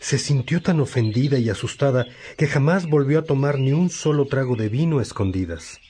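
Man acting a reflective, measured priest. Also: medium pitch, animated, mellow, lightly acted. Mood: reflective, fond. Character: reflective priest recalling his mother and father. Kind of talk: radio drama storytelling. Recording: faint noise, birdsong ambience. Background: birdsong.

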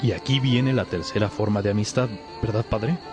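A man's voice: on the low side, fairly even intonation, moderate pace, music in the background, lightly acted. An actor playing an eager, respectful young male novice with an inquisitive manner in a religious radio drama. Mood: curious respectful.

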